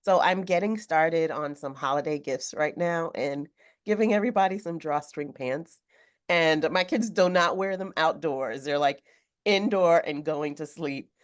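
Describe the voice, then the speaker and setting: A woman author speaking on a video call, a little hoarse from a cold, talking thoughtfully with some fillers. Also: low-pitched, measured pace. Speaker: author guest. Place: home room with sewing machine. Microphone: video call webcam.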